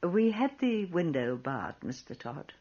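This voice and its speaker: Bossy secretive lady of the manor, old radio play, swooping low voice, dull recording. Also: sing-song, measured pace, mellow, breathy edge, heavily acted. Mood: polite. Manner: firm, commanding. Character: imperious, secretive lady of a haunted house. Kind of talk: old-time radio drama acting. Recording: muffled lo-fi old radio broadcast.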